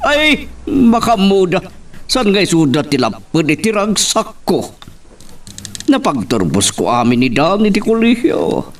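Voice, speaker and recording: A man's voice: sits high, wildly swooping pitch, a little slow, distant mic, a little hiss or hum, heavily acted. An Ilocano man acting an older widowed employer in a romance drama, lovestruck and pleading in a melodramatic way. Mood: earnest.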